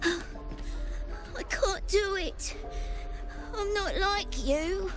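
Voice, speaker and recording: Casting: scared, crying fantasy game child, tiny high voice, halting slow delivery. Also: female voice, heavily acted. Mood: scared, tearful. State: tearful. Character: small, frightened tiefling child learning to fight. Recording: loud music behind.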